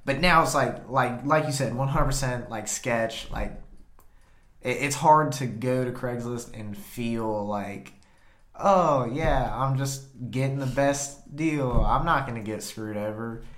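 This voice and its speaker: A man's voice: medium pitch, a little slow. Laid-back male co-host. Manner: relaxed, filler-heavy, laughs easily. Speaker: podcast co-host. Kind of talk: casual podcast chat. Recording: echoey room.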